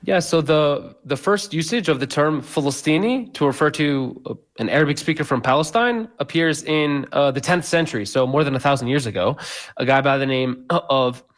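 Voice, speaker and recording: This is a man whose voice sits a bit on the high side, giving long historical answers in a radio studio interview. He talks in a halting way, full of "uh" and repeated words, as he thinks aloud. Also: expressive. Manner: hesitant, many fillers and restarts. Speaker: guest historian.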